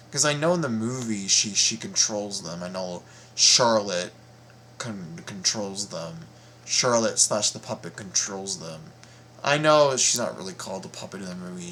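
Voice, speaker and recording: A man giving casual reaction commentary, explaining things in a halting, repetitive way. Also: lowish voice, a bit bright, slight background noise. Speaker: reaction video host.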